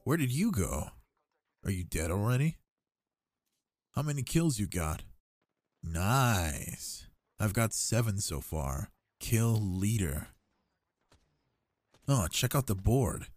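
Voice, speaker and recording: A man's voice: lowish voice, sing-song, takes it slowly, pauses often, a little mellow, no room sound, clean studio sound, full character voice. A young man playing an affectionate, cocky gamer boyfriend in an intimate ASMR roleplay, with slangy, teasing talk. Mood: excited, trash-talking.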